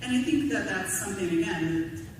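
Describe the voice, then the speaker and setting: A woman panelist giving candid, informal personal commentary at a convention panel, talking in a halting way with asides. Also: medium pitch, animated. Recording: echoey hall, distant mic, clear background noise.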